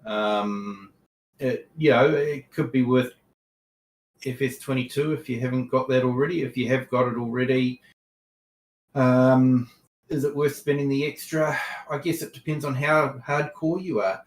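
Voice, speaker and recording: A man's voice: medium pitch, steady tone, reverberant, low-quality recording. A man casually chatting on a live game stream, reading chat aloud with lots of ums. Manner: unhurried, reads chat aloud, frequent ums.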